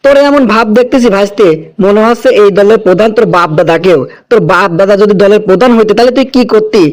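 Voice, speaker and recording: Man acting high-pitched, fast-scolding village uncle. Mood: angry scolding. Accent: Kushtia, Bangladesh. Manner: hectoring, rhetorical scolding. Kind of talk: animated cartoon dialogue.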